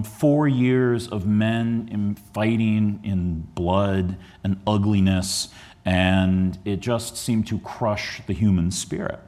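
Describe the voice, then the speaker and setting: Low-voiced man giving a halting history lecture. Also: a little mellow. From Chicago area, USA. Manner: earnest, with frequent ums and restarts. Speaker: historian giving a book talk. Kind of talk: museum lecture. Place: museum lecture hall.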